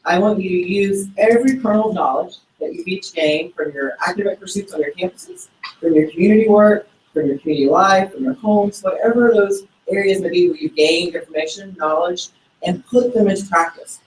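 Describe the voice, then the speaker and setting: A man with a high voice gives an upbeat closing address from far off in an echoing hall. He urges the audience on and keeps checking in with them, and faint room sound runs underneath. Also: a little fast, slight background noise. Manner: exhortative, with repeated tag questions. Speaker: speaker giving closing remarks. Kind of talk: motivational speech. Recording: roomy hall, far from the mic.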